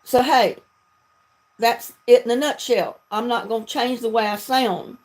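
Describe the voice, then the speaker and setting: A woman's voice: a little high, fairly even intonation, a little slow, low-quality recording. A woman from North Carolina with a Southern accent, chatting casually and folksily as she hosts a livestream. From North Carolina, United States. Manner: folksy, chatty, laughs often. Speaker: video creator hosting a livestream.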